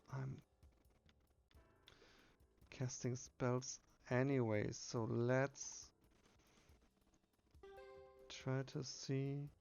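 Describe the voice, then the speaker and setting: A man's voice: medium pitch, vocal fry, darkish tone, dull audio. A man casually playing through a game, reading its text aloud and muttering his own asides. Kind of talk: casual game playthrough with read-aloud dialogue.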